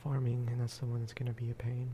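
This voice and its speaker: A man giving casual, rambling ASMR game commentary, speaking softly and often slipping into whispers. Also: medium pitch, completely flat delivery, quick pace, slight background noise. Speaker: gaming video host.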